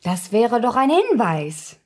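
Bickering partner for radio drama: highish husky voice, needling, curious, argumentative. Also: female voice, sing-song, slow, full character voice. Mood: probing, curious. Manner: pointed, teasing, argumentative. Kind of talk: German radio play acting.

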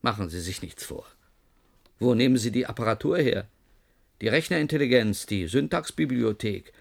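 An actor in a German radio play playing a haughty, suspicious research doctor who questions in a scornful, imperious way. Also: male voice, mid-range voice, expressive, normal speed, gravelly.

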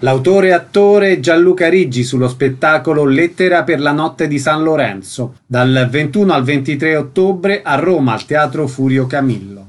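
A male radio host presents in a fairly high voice. He delivers introductions and short questions in a presenter's style. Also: animated, moderate pace, few pauses.

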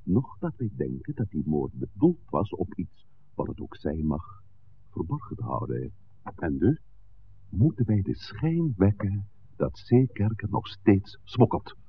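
A man playing a suave, scheming village pastor in a 1960s radio drama, speaking in formal, measured, courteous sentences. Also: low voice, sing-song, full character voice. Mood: grave, diplomatic. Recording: muffled, noisy old radio recording.

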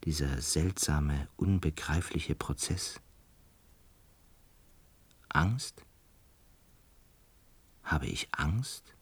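An actor in a German radio play voicing a calm, introspective lodger in a musing, murmuring manner. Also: male voice, rumbling low, extremely slow, long pauses, slightly rough, no echo, full character voice. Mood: brooding. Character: brooding male lodger, former medical student, calm, introspective.

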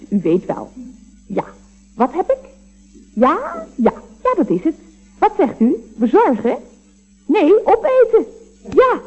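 A cheeky female secretary in a radio comedy. She is polite and sing-song, slipping in dry jabs with very lively pitch, on a dull old recording. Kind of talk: Dutch radio comedy acting.

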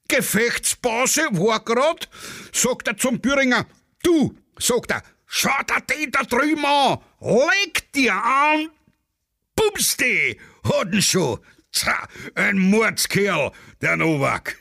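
Ein Mann spielt einen prahlerischen, plaudernden Offizier mit österreichischem Akzent. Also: male voice, extremely high pitch, bright, forceful tone, no room sound, studio quality, voice pushed up, full character voice. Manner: chatty, bragging, dialect-heavy. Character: boastful Austrian army officer, gossiping.

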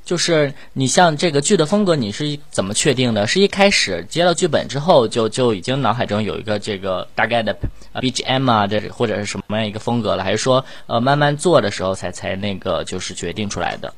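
A man's voice: on the low side, expressive, fast talker, hardly any pauses. A man hosting a group interview, asking questions in a casual, chatty way.

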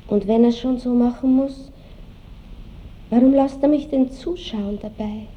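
A woman's voice: on the high side, steady tone, a little slow, highs cut off, natural voice. An actress playing a melancholy, tender aristocratic woman musing on time in an Austrian accent, wistful and lilting, in a classic radio drama. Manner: wistful, musing, lilting old-fashioned speech. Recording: faint hiss of old radio recording.

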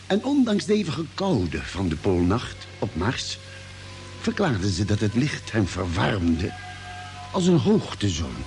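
An actor playing an earnest, grave ship's doctor in a Dutch space radio drama, narrating and speaking with dramatic, clear phrasing. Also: male voice, on the low side, darkish tone.

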